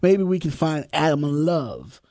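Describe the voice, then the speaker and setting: A young man in his twenties co-hosting a radio talk show, trading sarcastic jabs and crude jokes. His voice sits high for a man, and the recording is close and dry with no room sound. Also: very animated, measured pace, darkish tone, no room sound. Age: 20s. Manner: wisecracking, sarcastic, swears freely. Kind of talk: casual crude banter.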